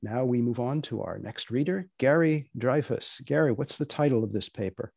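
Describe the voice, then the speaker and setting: A man's voice: medium pitch, lively intonation, normal speed, creaky, darkish tone, husky, no echo, studio quality. A man hosting and moderating an online event over a video call. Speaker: host and moderator.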